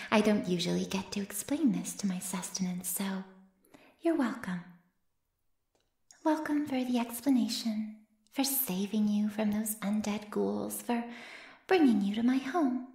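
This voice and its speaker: A woman's voice: medium pitch, darkish tone, close to an everyday voice. Coy echoing ancient vampire woman, often whispering, acted by a woman. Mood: smugly menacing. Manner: coy, breathy murmurs that often drop to a whisper. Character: ancient predatory female vampire, playfully menacing, smugly teasing her captive. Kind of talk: intimate ASMR roleplay acting. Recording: roomy cave-like echo.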